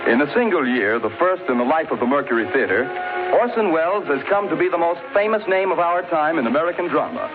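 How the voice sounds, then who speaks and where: A man's voice: fairly high pitch, lively intonation, fast talker, narrow, tinny audio, over music. A man introducing a summer radio series in a grand, promotional, declamatory way. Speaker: network announcer. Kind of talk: formal 1930s radio announcing. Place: broadcast studio. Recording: scratchy, hissy 1930s radio broadcast.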